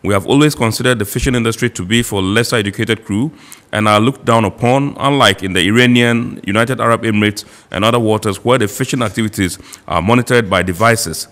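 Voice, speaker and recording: Man, TV host, low voice, long studio reverb, reads messages, short interjections. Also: fairly quick, a bit bright. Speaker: TV talk show host. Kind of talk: studio panel discussion.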